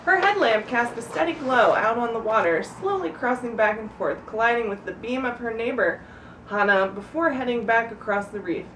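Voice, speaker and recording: A woman's voice: on the high side, animated, moderate pace, fairly bright tone. A woman reading a humorous story aloud to friends in a giggly, informal way, stumbling and breaking off to comment. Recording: echoey room, distant mic, background noise.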